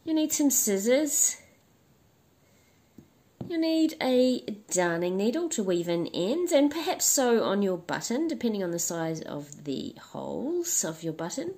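A woman with a husky, flu-worn voice talks through a crochet tutorial in a relaxed, chatty way. She restarts her sentences and fills pauses with ums as she explains each step. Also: mid-range voice. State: hoarse, recovering from flu. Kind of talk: casual instructional talk.

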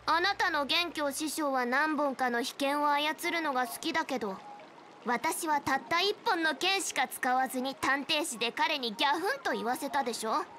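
A woman's voice: sits high, normal speed, bright, forceful tone, a little hiss or hum. An actress playing a proud, competitive young swordswoman in a game, speaking bluntly and boastfully.

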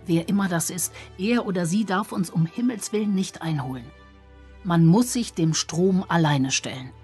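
A woman's voice: lowish voice, moderate pace, a little mellow, breathy edge. A woman playing a worried adult villager confiding her thoughts in a first-person audio drama monologue. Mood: reflective, worried. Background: music.

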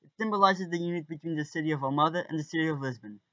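A young man in his teens presents an amateur documentary in Portuguese-accented English. His voice sits a little high for a man. The recording is dry, with hardly any room in it. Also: very fast, a bit bright, no echo, muffled recording. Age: teenager. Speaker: student presenter and guide.

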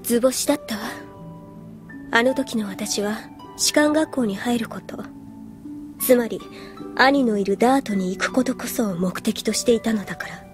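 An actress playing an earnest, reserved young woman soldier in an anime audio drama, composed and soft-spoken. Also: fairly high pitch, moderate pace, natural voice. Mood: reflective. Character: earnest, reserved young female soldier recalling her past. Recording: music behind the voice.

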